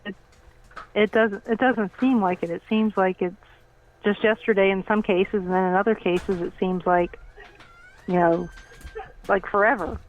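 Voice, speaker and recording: A thin, phone-like connection carries the voice of a woman in her fifties. She is a podcast guest who reminisces casually, plainspoken and teasing, at a middling pitch. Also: fairly even intonation, moderate pace, pauses often. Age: 50s. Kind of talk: casual chat, personal recollection. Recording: thin, phone-like call audio.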